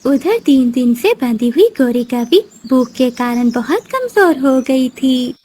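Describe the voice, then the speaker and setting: A woman narrator with a very high voice reads a children's story. Her pitch moves in a lively, animated way through the scripted telling. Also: unhurried, husky.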